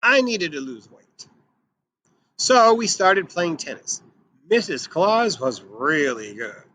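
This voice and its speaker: Male children's storybook reader, higher-pitched and bright, expressive and emphatic delivery. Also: slow, no room sound. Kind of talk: expressive read-aloud of a picture book for children.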